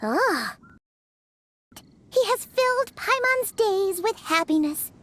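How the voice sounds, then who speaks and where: Tiny cheery game fairy, super high n squeaky, bouncy pitch, music behind. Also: female voice, sing-song, takes it slowly, fairly bright tone, heavily acted. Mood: earnest, sentimental. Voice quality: squeaky, childlike. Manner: perky, refers to self in third person. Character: tiny childlike floating fairy companion, cheerful and earnest. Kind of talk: cartoonish video game voice acting. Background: background music.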